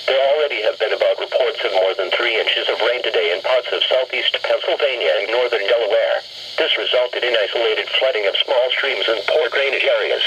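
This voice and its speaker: A synthetic-sounding man announcer reading a scripted weather bulletin on weather radio. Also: medium pitch. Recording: noisy weather radio broadcast.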